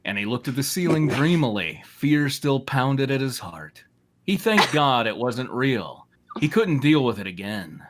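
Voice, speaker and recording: A man's voice: mid-range voice, big pitch swings, measured pace, fairly bright tone. A man narrating a story aloud for comedy, wry and amused, slipping into casual asides. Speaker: narrator and reader. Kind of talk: comic fan fiction reading with casual asides.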